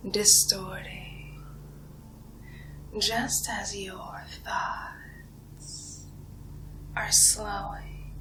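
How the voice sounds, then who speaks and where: A woman playing a condescending, seductive vampire in an ASMR hypnosis role play, whispering breathily with slow, hypnotic pauses. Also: medium pitch, poor audio. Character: condescending seductive female vampire feeding on human prey.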